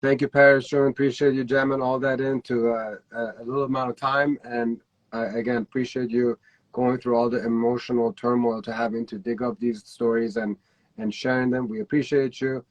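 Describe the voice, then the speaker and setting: An upbeat man hosting a live-streamed talk show. He thanks guests readily and keeps things moving, his voice sitting at an ordinary pitch. The sound is close and dry, with no room echo. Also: level tone, a little fast. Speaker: host.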